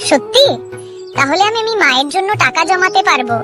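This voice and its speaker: A woman's voice: extremely high pitch, lively intonation, fairly quick, music in the background. A woman voicing a kind, trusting small village boy in a children's moral story cartoon. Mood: eager, trusting.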